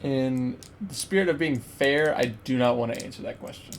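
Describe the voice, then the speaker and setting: A man's voice: medium pitch, moderate pace. Guy running a podcast quiz with friends, kinda hesitant explainer, some noise behind him. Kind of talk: casual group chat. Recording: clear background noise.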